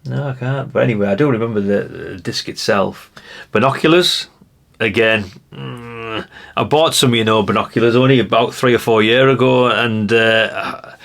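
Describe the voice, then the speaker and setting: A man's voice: medium pitch, normal speed, gravelly, a bit bright. A man, giving casual, nostalgic commentary in a rambling, chatty way, musing aloud to the viewer. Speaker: retro collector presenting a video.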